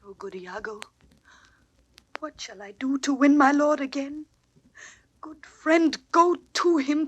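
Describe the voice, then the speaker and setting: A woman playing a gentle, wronged and devoted young wife in a classical Shakespearean audio drama, slow, plaintive and often near a whisper. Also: a little high, breathy edge, no room sound, rough recording. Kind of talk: classical Shakespearean stage acting on record. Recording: old dry studio drama record.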